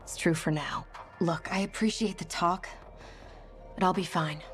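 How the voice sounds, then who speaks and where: A woman's voice: on the low side, a little slow, soft background music, lightly acted. A woman playing a prickly, world-weary townswoman, blunt and resigned, in a video game. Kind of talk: video game dialogue acting.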